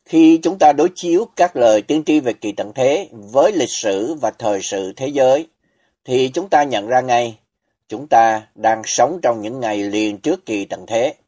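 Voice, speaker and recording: A man preaching a scripted sermon as a voice-over, reading in a solemn, measured way with emphatic pauses. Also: mid-range voice, a little mellow.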